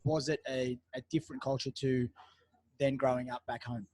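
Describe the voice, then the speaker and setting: A man's voice: mid-range voice, steady tone, normal speed, darkish tone, no room sound. A man hosting a podcast in a cafe, chatting loosely with many fillers and restarts. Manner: rambling, lots of fillers and restarts. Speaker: podcast host. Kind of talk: casual interview chat.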